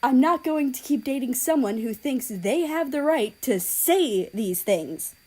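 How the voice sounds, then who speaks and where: A woman's voice: high-pitched, animated, a little slow, darkish tone, slightly rough, pitched above the performer's own voice, heavily acted. A woman voicing an indignant, fiercely loyal teenage girl in an audiobook story, emphatic and offended. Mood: indignant, firm.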